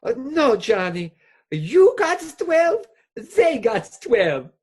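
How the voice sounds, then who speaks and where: A woman plays a nervous male doctor, sidekick to a criminal, in a radio comedy. The raspy voice drags along slowly with big pitch swings, hesitant and pleading. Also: sing-song, a little mellow, exaggerated voice. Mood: wheedling, anxious. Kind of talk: radio play acting.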